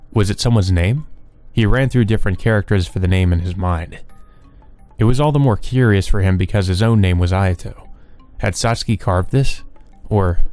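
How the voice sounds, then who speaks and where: A man's voice: lowish voice, a little mellow. A man reading a visual novel aloud as the host of a let's play. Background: background music.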